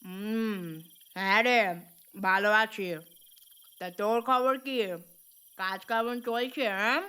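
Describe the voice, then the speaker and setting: A woman's voice: on the high side, slow pace, pushed and bright, clean tone. A woman playing an older, food-loving mother-in-law in a cartoon story, folksy and coaxing. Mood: agreeable. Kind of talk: cartoon voice acting. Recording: music behind the voice.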